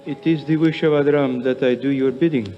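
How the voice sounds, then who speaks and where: A man playing a calm, submissive, mysterious servant in a 1950s radio drama, with formal and obedient phrasing. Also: medium pitch, fairly quick, natural voice. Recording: muffled 1950s radio recording, music behind.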